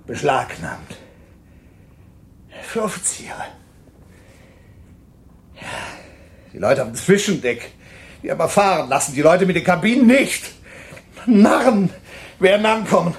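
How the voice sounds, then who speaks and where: An actor playing an anxious, bitter refugee doctor in a radio drama, speaking in an urgent, halting, emotional way. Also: male voice, on the high side. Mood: broken, bitter. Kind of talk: German radio drama acting.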